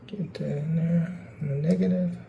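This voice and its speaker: Gravelly man narrating a casual repair. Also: fairly high pitch, steady tone, moderate pace, narrow, tinny audio, a little hiss or hum. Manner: folksy, informal phrasing. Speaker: host of a DIY repair video.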